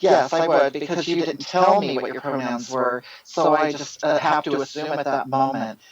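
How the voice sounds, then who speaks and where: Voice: steady tone, highs cut off, close to an everyday voice. Someone putting on an earnest, rambling activist woman. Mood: earnest explaining. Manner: halting, repeats words, jumps high in pitch. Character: self-styled female social justice activist, earnest and rambling. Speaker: guest being interviewed. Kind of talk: satirical interview answers.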